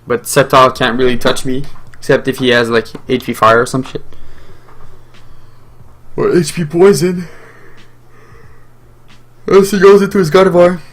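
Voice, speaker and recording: A young man whose mother tongue is French talks through a game as he plays, thinking out loud. His commentary rambles, full of fillers and restarts, at a middling pitch. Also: steady tone, normal speed, pauses often. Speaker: gaming channel host.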